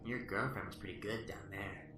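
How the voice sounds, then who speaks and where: A man playing a calm, mocking male serial killer under interrogation, in a roomy recording set back from the mic with music beneath. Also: on the low side, normal speed, recorded from a distance. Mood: smug, mocking. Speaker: arrested suspect under interrogation. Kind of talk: amateur radio drama acting.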